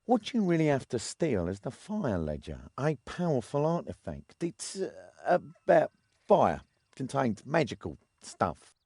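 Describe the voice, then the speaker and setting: An actor playing a shifty, greedy crook in a video game, scheming in an offhand, cajoling manner. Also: male voice, medium pitch, wildly swooping pitch, measured pace, vocal fry, darkish tone, slightly rough, no echo, clean studio sound, heavily acted. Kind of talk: video game cutscene acting.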